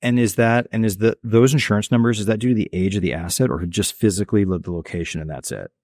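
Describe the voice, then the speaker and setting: A man hosting a podcast, asking his guest quick, conversational questions. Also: lowish voice, darkish tone, no echo, studio quality. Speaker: podcast host.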